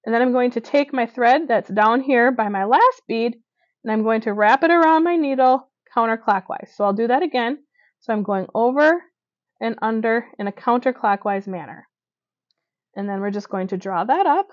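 A woman's voice: a little high, animated, moderate pace, dry recording, lo-fi sound. Woman demonstrating stitching. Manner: talks while working, with fillers and small self-corrections. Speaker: stitching instructor. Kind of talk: hands-on craft demonstration. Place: indoors at a table.

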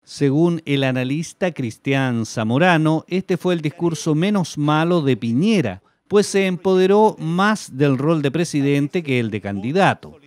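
A man with a Chilean accent narrating a regional television news report in a measured, scripted way. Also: fairly high pitch, animated, a little mellow. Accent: Chilean Spanish.

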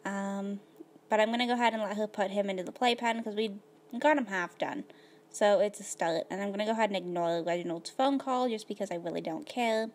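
A woman of middle pitch talks her way through a life-simulation game in an easygoing, rambling manner, full of "um" and "like". Her voice is a little hoarse, as if she is losing it. She sounds close to a desktop mic. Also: a little fast, a little mellow. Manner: rambling, filler-heavy, laid-back. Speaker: gaming video host. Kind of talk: casual gameplay commentary.

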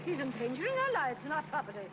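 An actress playing a haughty, middle-aged society matron in a 1940s comedy film, speaking in a grand, formal, fluttery manner. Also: female voice, high-pitched, wildly swooping pitch, quick pace. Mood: outraged, flustered. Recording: muffled, very noisy old film, crowd.

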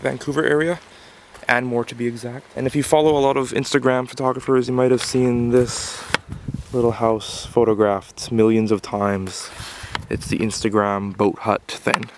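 A man's voice: fairly low pitch, vocal fry, noisy background. A young man from Canada talking casually in a car vlog, loose and rambling with lots of ums and restarts. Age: young adult. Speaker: vlogger. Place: inside a small car, later outdoors in a snowy lakeside parking lot.

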